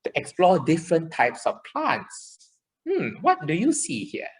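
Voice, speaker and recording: A woman's voice: on the low side, wildly swooping pitch, a little slow. A woman voicing a chirpy, caring child puppet in a children's show, with simple, encouraging explanations. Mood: cheerful, thankful.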